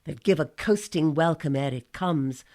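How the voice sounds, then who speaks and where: A woman's voice: low-pitched, normal speed, a little mellow, natural voice. A woman playing a sly, scornful Greek strategist, declaiming with bite in a Shakespearean audio drama.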